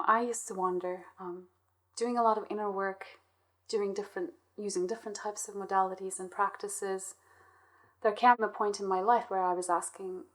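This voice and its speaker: A woman with an average-pitched voice speaks as an interviewer. Her delivery is conversational and reflective, as if thinking through her own experience aloud.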